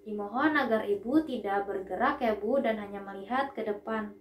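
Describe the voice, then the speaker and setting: A woman's voice: mid-range voice, moderate pace, a little hiss or hum. A young woman narrates a scripted instructional demonstration, explaining each step politely and carefully. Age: young adult. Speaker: dental student demonstrating a procedure. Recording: echoey room with music behind.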